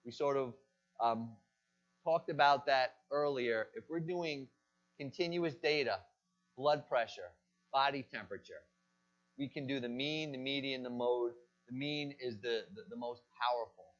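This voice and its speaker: Man lecturing on a dull lo-fi recording. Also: medium pitch. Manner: asks the class questions and answers them himself. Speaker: pharmacy college lecturer. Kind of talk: classroom lecture.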